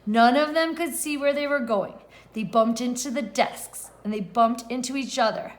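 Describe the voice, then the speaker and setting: A woman's voice: fairly high pitch, moderate pace. A woman kindergarten teacher reading a picture book aloud expressively and putting questions to the children. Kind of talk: read-aloud lesson for young children.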